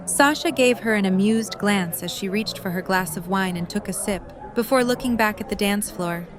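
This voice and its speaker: A woman's voice: mid-range voice, normal speed. A woman reading scripted story narration as the narrator of an audio drama. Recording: music and crowd chatter behind.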